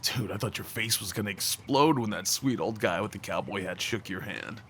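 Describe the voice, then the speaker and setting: A man's voice: on the low side, fairly bright tone. Man acting awkward young guy over ambient noise. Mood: fond, nostalgic. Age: young adult. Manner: halting, stammering, slangy, chuckling. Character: awkward, tender young small-town man, apologetic old friend. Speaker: audio roleplay voice actor. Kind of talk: intimate ASMR roleplay acting, casual conversational.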